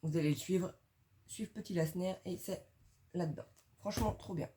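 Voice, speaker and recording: A woman's voice: fairly low pitch, moderate pace, background noise. Chatty young woman recommending books. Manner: chatty, slangy, many fillers. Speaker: book reviewer. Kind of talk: casual video book recommendations.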